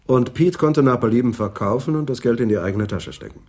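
Guy acting a businesslike cop in a radio play, husky, swingy intonation, asks probing questions. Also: mid-range voice, expressive, brisk, a little mellow, lightly acted. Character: brisk, probing male Amsterdam police detective. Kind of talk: 1980s German radio crime drama dialogue.

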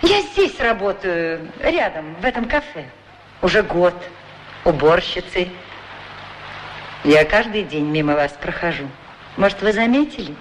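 A woman's voice: lowish voice, sing-song, unhurried, pauses often. A middle-aged working widow in a radio drama. She is shy and courteous, talking in a chatty, slightly bashful way. Her voice rings with long reverb over faint noise. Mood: friendly, curious. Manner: slightly embarrassed, polite, chatty. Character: middle-aged widowed cleaning woman, shy, polite, thrifty. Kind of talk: radio play acting, conversational.

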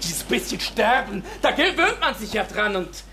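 A bitter, defiant young villager. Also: male voice, high voice, very animated, sharp tone, soft background music, heavily acted. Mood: defiant, resigned. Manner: sarcastic, halting, emphatic with abrupt swings. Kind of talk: radio drama monologue.

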